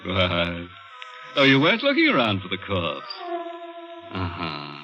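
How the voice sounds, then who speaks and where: Deep male voice, sardonic horror host, macabre teasing, old-time radio, music underneath, dull vintage sound. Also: wildly swooping pitch, measured pace, full character voice. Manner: wry, macabre, mock-friendly. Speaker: host narrator. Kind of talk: 1950s radio mystery hosting. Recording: muffled old radio recording, music behind.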